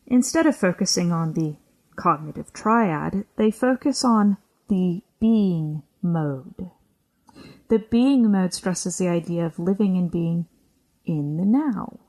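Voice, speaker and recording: A woman presenting a scripted explanatory podcast segment in a measured, lecturing manner. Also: mid-range voice, darkish tone, rough recording.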